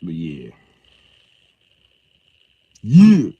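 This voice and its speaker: A man chatting casually on a livestream, loud and profane, with an animated delivery. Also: on the low side, extremely slow, slightly rough, dry recording, lo-fi sound.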